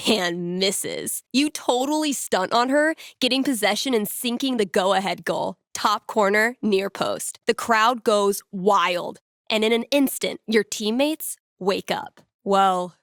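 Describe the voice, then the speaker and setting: Wry young American radio DJ, played by an actress. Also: female voice, medium pitch, no echo, studio quality, natural voice. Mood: storytelling, earnest. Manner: wry, playful, conversational. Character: witty young woman small-town radio DJ and fortune teller. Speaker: radio host. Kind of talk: casual local radio announcements, video game voice acting.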